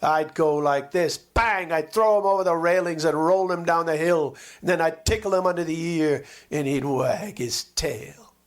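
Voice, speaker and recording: A man's voice: on the high side, normal speed, close to an everyday voice. A man reading a storybook and voicing imaginative, boastful, mischievous small boys with an eager, playful manner. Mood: playful bragging.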